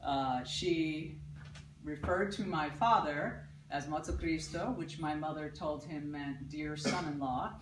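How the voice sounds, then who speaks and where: Loud woman from Paterson, New Jersey, spinning a live stage story; echoey hall, mic set back. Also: deep voice, takes it slowly, a little hiss or hum. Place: theatre stage with live audience. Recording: roomy, distant mic, audible ambience.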